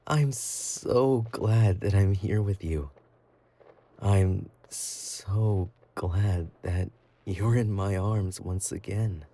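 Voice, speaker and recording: A man playing an obsessive, possessive boyfriend in a romantic roleplay audio drama, doting yet menacing, with a breathy, intimate, cooing delivery. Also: deep voice, extremely slow, mellow, full character voice. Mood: adoring, tender.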